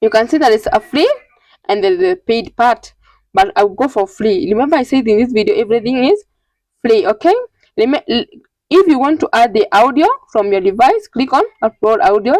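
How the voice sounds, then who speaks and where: A woman narrating an informal step-by-step tutorial in a halting, repetitive, instructional way. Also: a little high, lively intonation, a little mellow, no echo, low-quality recording. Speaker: tutorial host.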